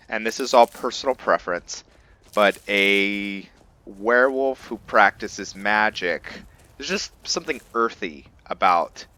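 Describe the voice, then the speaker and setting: A man hosting a gaming roleplay session in a lowish, crisp-edged voice. He talks slowly and casually, feeling his way haltingly through his thoughts as he plays. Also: sharp tone. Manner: halting, thinking aloud as he goes. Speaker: host of a gaming roleplay series. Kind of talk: casual let's play commentary.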